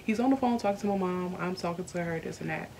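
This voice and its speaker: A young woman from the South, candidly telling a personal story on her vlog, earnest and slowed by emotion. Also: on the low side, vocal fry, a little mellow, slight background noise. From Southern United States. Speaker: YouTuber. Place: indoors at home.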